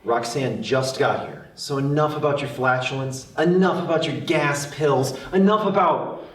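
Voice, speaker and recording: A man's voice: a little high, normal speed, close to an everyday voice. A man reading the part of a young son and boyfriend in a screenplay table read. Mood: exasperated. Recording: echoey room, distant mic.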